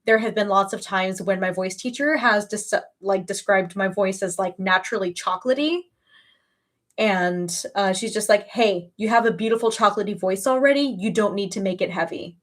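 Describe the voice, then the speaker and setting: A woman's voice: medium pitch, normal speed. A woman in her early thirties chatting casually as a livestream host, with an emphatic, gushing way of talking. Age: early 30s.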